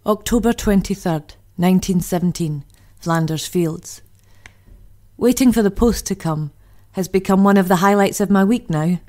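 A woman's voice: mid-range voice, moderate pace, dark tone, lightly acted. A woman playing a homesick, chatty First World War diarist, in a dramatic monologue. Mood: wistful, chatty. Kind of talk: dramatic monologue, diary reading.

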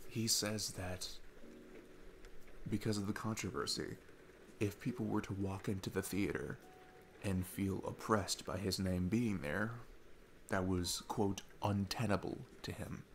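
A man playing a sweet, chatty dad on a date in an ASMR roleplay, rambling enthusiastically with halting restarts. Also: sits low, slight background noise. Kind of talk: ASMR audio roleplay, casual rambling talk.

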